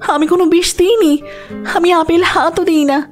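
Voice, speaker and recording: A woman playing a frightened young woman accused of murder, in a Bengali audio drama, with shrill, panicked denials. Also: fairly even intonation, normal speed, faint music behind.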